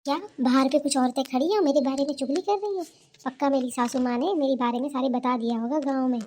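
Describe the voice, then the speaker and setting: Cartoon daughter-in-law, female actor: young, lazy, brash, high soft-toned voice, always complaining and talking down. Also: slight background noise, lightly acted. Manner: scornful, bossy, complaining. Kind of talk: cartoon character dialogue.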